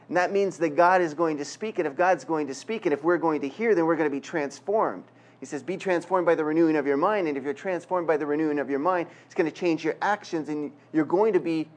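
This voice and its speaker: Earnest Canadian-accented preacher, fairly high voice. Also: male voice. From Quebec, Canada. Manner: conversational, self-deprecating humor, earnest. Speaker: guest preacher. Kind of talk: sermon with jokes and prayer.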